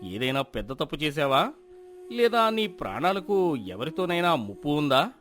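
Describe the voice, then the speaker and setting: A man acting a wise, expressive village teacher. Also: on the high side. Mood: probing, firm. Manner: measured, admonishing, counselling. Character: elderly respected village teacher, wise, concerned, gently chiding. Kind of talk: acted moral-story dialogue.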